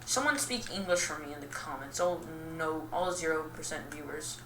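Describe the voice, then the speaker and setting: A young woman giving casual, rambling game commentary in a self-deprecating, goofy and halting way. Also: low-pitched, lively intonation. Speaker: gaming video host. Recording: roomy, distant mic with clear noise.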